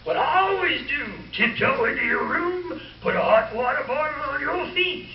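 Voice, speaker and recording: A man's voice: very high-pitched, animated, normal speed. A man acting a cheeky valet who teases his boss in an old-time radio comedy, performed on stage. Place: live stage show before an audience. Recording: echoey stage recording, distant, noisy, dull.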